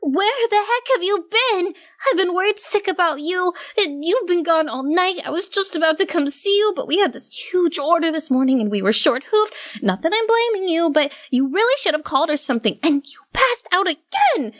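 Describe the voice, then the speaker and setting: A woman's voice: extremely high pitch, expressive, moderate pace, fairly bright tone, pitched above the performer's own voice. A woman voicing a frantic young female pony shopkeeper who rambles on in a worked-up way. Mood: frantic worry.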